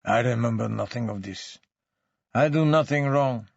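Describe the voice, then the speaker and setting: Male reader as a surly immigrant suspect, Eastern European accent, evasive, whiny broken English, gravelly, audiobook, dry recording. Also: mid-range voice, moderate pace, no room sound, highs cut off. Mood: surly, defiant. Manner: terse, broken English, whining.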